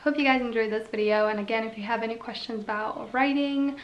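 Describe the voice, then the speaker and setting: A woman's voice: fairly high pitch, steady tone, fast talker, dull audio. Chatty young woman in an echoey room. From Slovenia. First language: Slovene. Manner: chatty, with frequent fillers. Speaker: YouTuber. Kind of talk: casual Q&A vlog.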